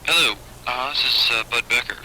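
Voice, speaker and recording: Male booking agent, very sharp forceful tone, hesitant delivery, vintage phone recording with faint noise. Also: medium pitch, brisk. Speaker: booking agent on a business call. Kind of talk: business phone conversation. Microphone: telephone. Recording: noisy old taped phone call.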